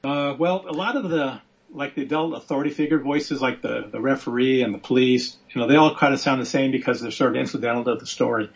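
A man who is a guest author on a podcast, telling his story briskly in casual chat with plenty of fillers. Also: medium pitch, gravelly. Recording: dull lo-fi audio.

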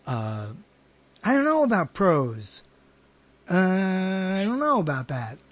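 A man with a fairly high, husky voice hosts a conversational interview. His pitch swings widely as he talks. He is halting and rambling, often doubling back on his words. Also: wildly swooping pitch. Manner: halting, rambling, repeats himself. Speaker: interviewer, host.

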